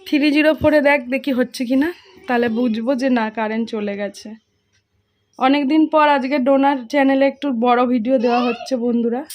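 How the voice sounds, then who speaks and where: A woman's voice: high voice, fairly even intonation, normal speed, a little mellow, slight background noise. A young woman with a Bengali accent narrating a casual home vlog, chatting to viewers and family in a playful, teasing way. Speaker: family vlogger, mother.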